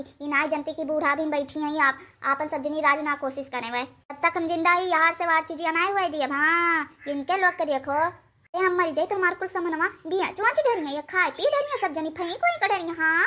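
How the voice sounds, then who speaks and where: Woman doing a bossy old Awadhi mother-in-law, high-pitched. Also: normal speed, pushed and bright. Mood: domineering. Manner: hectoring, repeating tags as threats. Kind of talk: cartoon dialogue.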